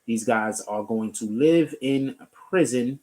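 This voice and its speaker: A man's voice: mid-range voice, slow, warm tone, rough recording. A man from the Bronx, hosting a casual stream and commenting on news with loose, profane chatter while he clicks through web pages. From the Bronx, New York. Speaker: streamer host.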